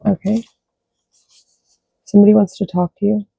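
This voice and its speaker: Woman with a deep, dark voice and a dry, close sound, reading cards in loose, sweary stream-of-thought chat. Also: little pitch movement, moderate pace, creaky, breathy edge, no room sound. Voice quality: low voice. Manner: loose, profane, thinks aloud. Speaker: card reader. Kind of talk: casual rambling spiritual chat.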